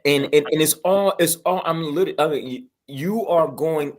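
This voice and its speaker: A man's voice: a little high, moderate pace. A man chatting casually to promote something, enthusiastic and slangy, often repeating words as he hypes it. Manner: enthusiastic, slangy, repetitive hype.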